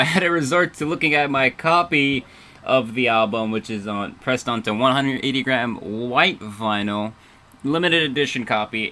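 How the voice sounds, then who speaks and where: Bright, playful man hosting radio. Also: medium pitch. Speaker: radio show host and DJ. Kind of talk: casual livestream radio DJ chat.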